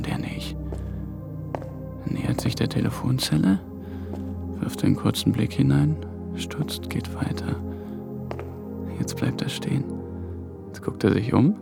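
An actor playing an anxious, alert young intern in a radio drama, muttering in clipped fragments. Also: male voice, medium pitch, creaky. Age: young adult. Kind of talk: radio drama acting with narrated inner monologue.

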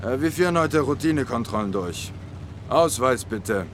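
A man's voice: medium pitch, animated, normal speed, very noisy, lightly acted. An actor playing a chatty, amused Slovenian border policeman in a German radio drama. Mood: official. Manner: jovial, chatty.

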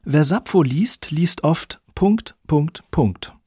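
A man presenting a radio feature in scripted narration, with a gravelly, husky voice. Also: fairly high pitch, animated, slow, dark tone, dry recording, studio quality.

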